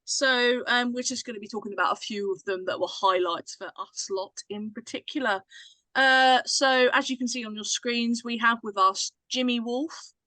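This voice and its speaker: A woman's voice: a little high, fairly even intonation, normal speed, bright, forceful tone, no room sound, poor audio. A woman hosting a casual group chat, introducing and steering the conversation. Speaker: host.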